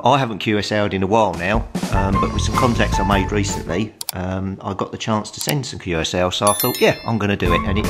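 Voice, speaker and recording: A man's voice: low-pitched, a bit bright. A middle-aged British man giving a casual, plain-spoken tutorial as a hobbyist presenter. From United Kingdom.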